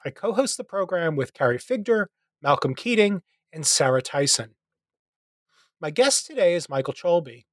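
A man's voice: on the high side, normal speed, no room sound. Give me a man hosting an interview podcast, dry close recording. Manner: scripted intro, then relaxed chat. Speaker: podcast host. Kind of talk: interview host.

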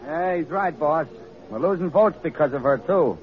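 A man's voice: on the high side, expressive, normal speed, natural voice. An actor playing an eager, worried henchman with an American accent, slangy and deferential in an old radio drama. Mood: worried insistence. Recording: muffled old radio recording.

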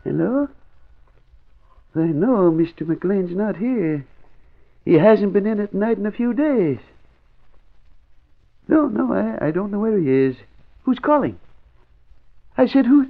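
A sly small-time crook, speaks in a high, gravelly voice. He gloats and mutters with gleeful cunning in 1940s radio drama style, on a dull, faintly noisy old recording. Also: big pitch swings, slow, pauses often, heavily acted. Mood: evasive.

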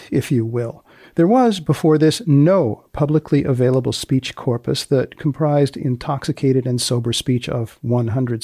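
A man hosting a podcast, reading scripted lines and questions in a deliberate, dry manner with dramatic pauses. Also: medium pitch, mellow, no echo. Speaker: podcast host, magazine editor.

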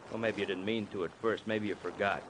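An actor in an old-time radio drama playing a falsely friendly detective who needles with short, insinuating, repeated lines. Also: male voice, fairly low pitch, fairly even intonation, quick pace, close to an everyday voice. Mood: accusing. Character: needling, falsely friendly male police detective, boxing fan. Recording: faintly noisy vintage radio recording.

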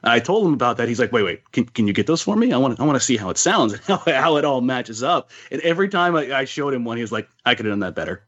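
A man with a middle-pitched voice hosts a casual interview. He talks haltingly, repeating phrases, and the audio is a little dull and lo-fi. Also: very animated, fairly bright tone. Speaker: podcast host.

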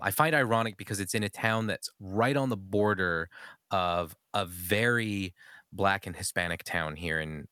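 A man, a pastor, telling stories in casual conversation with frequent laughs and animated delivery. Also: fairly low pitch, dry recording, studio quality.

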